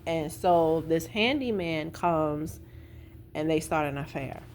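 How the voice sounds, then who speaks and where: A woman's voice: low-pitched, slow pace, a little mellow. An American woman talking casually and informally, retelling a story with her own opinions and slang. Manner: conversational and informal, with slang and acted-out quotes. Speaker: vlogger reviewing a stage play. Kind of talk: casual chat, recounting a plot with opinions.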